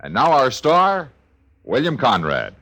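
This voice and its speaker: Animated American radio announcer man. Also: medium pitch, measured pace. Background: plucked guitar music.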